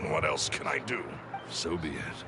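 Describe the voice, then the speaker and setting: A man playing a proud, bitter master thief in a video game, speaking in a grandiose, theatrical way with a gravelly edge. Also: deep voice, sing-song, normal speed, noisy background, full character voice. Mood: resigned. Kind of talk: video game character acting.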